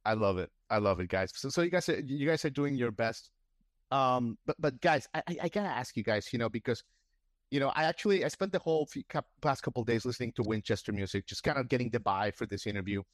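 A man's voice: mid-range voice, expressive, quick pace, no room sound, clean studio sound. A man hosting a podcast interview, asking rambling questions full of restarts and fillers. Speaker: podcast host. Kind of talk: casual interview.

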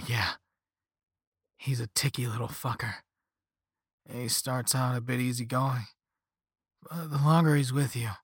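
A man's voice: medium pitch, fairly bright tone, slightly rough, dry recording, clean studio sound. A man voicing a flippant, easygoing young rich slacker in a story, with a casual slangy drawl and sarcastic half-apologies. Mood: breezy, half-apologetic. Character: flippant, laid-back young rich slacker on a phone call.

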